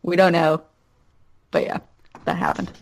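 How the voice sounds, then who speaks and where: Female podcast guest, slightly low pitch, loose conversational storytelling, halting and informal. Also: little pitch movement. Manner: halting, peppered with "like", swears casually. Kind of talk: casual chat, personal anecdote.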